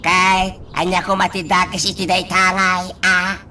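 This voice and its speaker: A woman acting in an amateur radio drama, speaking in a sing-song way with drawn-out sighs. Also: on the low side, monotone, bright, forceful tone, slight background noise.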